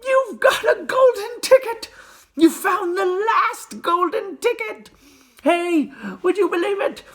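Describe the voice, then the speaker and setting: Reader putting on a fat shopkeeper man, jolly and excitable, voice shooting way up and hollering, wildly bouncing pitch, storybook shopkeeper. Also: moderate pace, slightly rough, heavily acted. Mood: ecstatic shouting. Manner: excited yelling, breaking into laughter.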